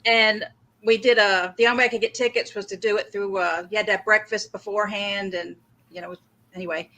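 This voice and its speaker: A middle-aged woman with a Southern American drawl tells stories in a bright, forward voice. She is chatting casually over a video call, spinning her anecdotes with easy animation. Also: mid-range voice, steady tone, a little fast. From northern United States. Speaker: livestream co-host. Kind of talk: casual chat on a video stream.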